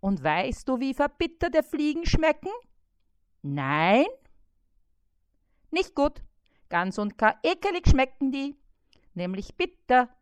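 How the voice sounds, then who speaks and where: A woman's voice: high-pitched, very animated, slow pace, pauses often, smooth, no echo, pitched above the performer's own voice, full character voice. A woman voicing a whiny, demanding male fly caught in a spider's web, who nags shrilly and dramatically. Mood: nagging.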